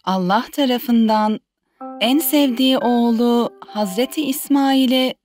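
A woman voicing a young mother who gently tells her children a religious story in a cartoon dub. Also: fairly high pitch, unhurried, soft background music, natural voice. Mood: gentle, reverent.

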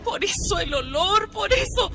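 Frantic, high-pitched wailing mother. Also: very animated, a little slow, vocal fry, music in the background, full character voice. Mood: panicked. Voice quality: wailing, tearful. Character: frantic, grieving mother, superstitious and fearful. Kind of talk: melodramatic radio drama acting.

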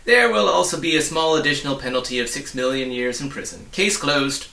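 A man's voice: on the high side, a little fast, gravelly, bright, forceful tone, roomy sound, recorded from a distance, pitched above the performer's own voice. A man voicing a tiny, fierce, bossy storybook policeman with a blustering, accusatory manner. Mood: brisk, officious.

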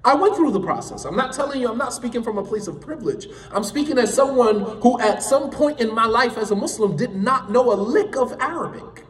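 A man's voice: very high voice, lively intonation, brisk, few pauses, roomy sound, big-room sound, far from the mic. A man whose first language is English, teaching an Islamic studies class in an emphatic, impassioned way and repeating points for stress. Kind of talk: classroom lecture.

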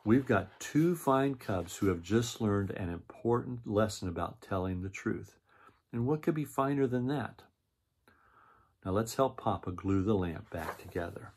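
A gentle mother bear from a children's storybook, soft-spoken and earnest, her sorrow quiet rather than angry, as a man reading aloud voices her. The voice is low and husky, with a slightly breathy edge. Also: animated, a little slow, full character voice. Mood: warm, reassuring.

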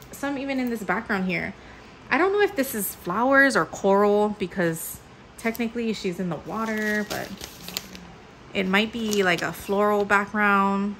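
A chatty young woman shows off a craft kit on her table, upbeat and full of fillers. Her voice is bright and forward, with a faint hiss of room noise behind it. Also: medium pitch, rough recording. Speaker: crafting channel host. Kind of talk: casual unboxing commentary. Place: indoors at a table. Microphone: overhead camera mic, hands-only framing.

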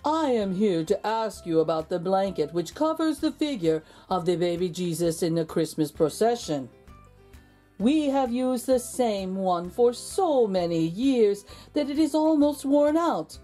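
Woman reading as a mellow, courteous village priest. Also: mid-range voice. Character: kindly, courteous village priest, adult man.

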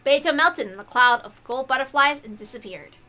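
A woman's voice: high voice, animated, a little fast, pushed and bright, faint music behind. A woman narrating a visual novel aloud, with casual asides between the scripted lines. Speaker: reader and narrator.

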